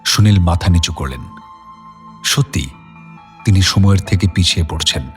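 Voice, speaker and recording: Low-voiced male story narrator. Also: normal speed, faint music behind. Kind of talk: scripted audio-story narration.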